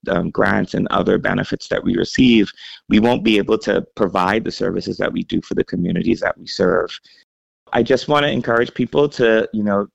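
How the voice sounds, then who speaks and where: Low gravelly guy from the bahamas, calm earnest talk, dull dry sound. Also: dry recording. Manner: measured, earnest, with frequent ums. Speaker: health center CEO. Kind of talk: radio interview answers.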